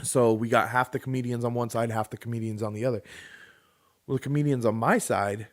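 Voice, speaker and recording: A man's voice: mid-range voice, brisk. A man in his late thirties co-hosting a podcast, telling a long story in casual, rambling chat and acting out what other people said. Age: 30s. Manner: rambling, animated, acts out quoted people. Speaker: podcast co-host.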